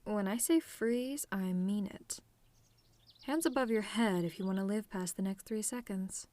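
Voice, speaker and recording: A woman playing a telepathic villainess in an ASMR roleplay, sardonic and theatrical, menacing with a tender streak, in deliberate phrasing. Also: mid-range voice, warm tone, close to an everyday voice. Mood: commanding, threatening. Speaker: audio roleplay performer.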